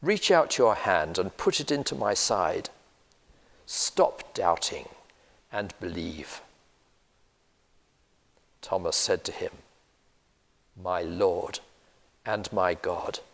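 Older male reader, slow, deliberate scripture reading with church reverb. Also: deep voice, gravelly. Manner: steady, deliberate reading aloud. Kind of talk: Bible reading. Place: church, indoors, seated at a lectern.

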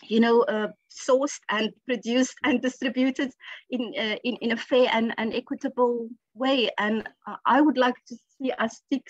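A woman speaking as a panelist in an online discussion, measured and advocating. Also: a little high, dry recording, dull audio.

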